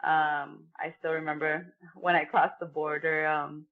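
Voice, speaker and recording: A woman's voice: sits low, little pitch movement, measured pace. A woman from Mexico, a professional boxer, talking earnestly as a guest in an interview and often saying "you know". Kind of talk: casual interview conversation. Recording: tinny phone-like remote line.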